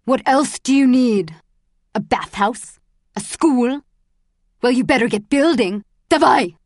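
Woman as a male Soviet guard, harsh, sneering, shouted taunts, audiobook drama, dry close sound. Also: on the high side, animated, slow pace, no room sound, pitched above the performer's own voice. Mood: taunting.

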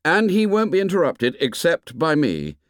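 A man's voice: fairly high pitch, huge pitch swings, moderate pace, smooth, no echo, clean studio sound, voice pushed up. A man voicing an earnest, commanding schoolboy leader in an audiobook, speaking in short emphatic phrases. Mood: firm. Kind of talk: audiobook character voice.

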